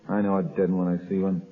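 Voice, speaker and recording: An actor playing a nervous, rough-talking gangster henchman in 1930s radio acting, on a tinny old broadcast. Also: sits low. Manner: rough tough-guy speech with nervous chuckles.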